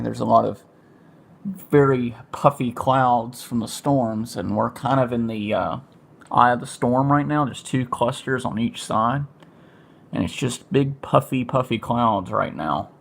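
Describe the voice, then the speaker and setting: A man's voice: medium pitch, normal speed, vocal fry. An American man giving casual, informal commentary as he hosts a weather livestream from a room at home. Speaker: livestream host, amateur storm watcher. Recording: noisy amateur livestream audio.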